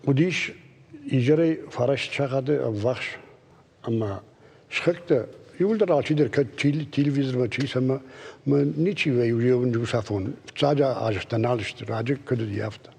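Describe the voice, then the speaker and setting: Male interview guest, raspy and creaky, plain-spoken, hall-like reverb. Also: medium pitch, moderate pace, darkish tone.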